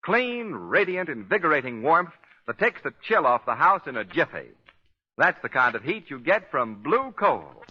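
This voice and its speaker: A man's voice: on the high side, big pitch swings, moderate pace, breathy edge. A man announcing in 1940s radio style, with emphatic, folksy salesmanship. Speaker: radio announcer and sponsor pitchman. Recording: dull 1940s radio recording.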